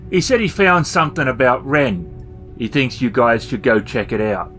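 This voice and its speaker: Man, mid pitch, casual game master, tabletop narration, faint background music. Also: normal speed, pushed and bright.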